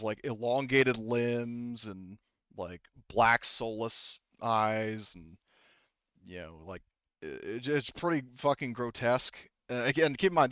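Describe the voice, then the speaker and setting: A man running a tabletop game as game master, casually improvising narration in a halting, sweary way as he thinks aloud into a headset. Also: mid-range voice, a bit bright, clean tone, no room sound, dull audio. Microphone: headset mic.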